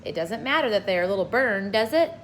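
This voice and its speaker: A woman's voice: medium pitch, animated, fast, slight background noise, natural voice. A woman voicing a fearless, playful young girl with a breezy, teasing manner in a children's storybook read-aloud. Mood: eager, friendly.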